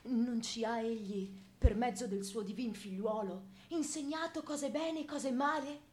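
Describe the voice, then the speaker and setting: A woman's voice: on the high side, a little slow, a little mellow, natural voice. A woman playing a stern, dogmatic senior priest in a theatrical radio drama, pompous and sermonizing. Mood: argumentative. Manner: pompous, sermonizing, rhetorical questions.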